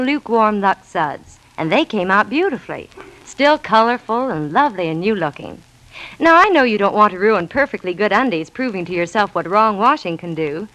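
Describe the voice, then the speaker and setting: A woman's voice: medium pitch, a bit bright, poor audio. A woman giving a scripted, chatty and persuasive commercial in 1940s radio style. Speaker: commercial spokeswoman. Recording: vintage 1940s radio broadcast.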